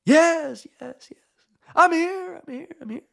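Man acting out a faithful prophet, high calling voice. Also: measured pace, vocal fry, a bit bright, heavily acted. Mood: calling back.

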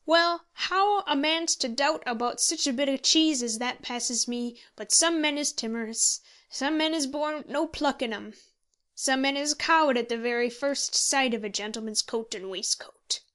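A woman voicing a scheming, impatient old male pensioner who bullies in forceful, rustic speech for an audiobook story. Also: high voice, normal speed. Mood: impatient, urging.

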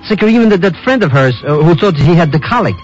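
A man as an excitable high-pitched comic sidekick. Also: fast, natural voice. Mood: puzzled, chatty. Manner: stammering, flustered, repeats himself. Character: excitable, bumbling, timid comic sidekick of a spy. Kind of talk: 1950s radio drama acting. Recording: muffled 1950s radio recording with music.

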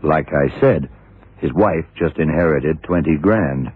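An actor playing a shrewd, worldly, commanding male detective who explains deliberately, in the style of vintage radio drama. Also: rumbling low, slightly rough, no echo, full character voice. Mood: patient, knowing. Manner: deliberate, hard-boiled explaining. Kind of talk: 1960s-70s radio drama acting. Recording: muffled, narrow-band vintage radio recording.

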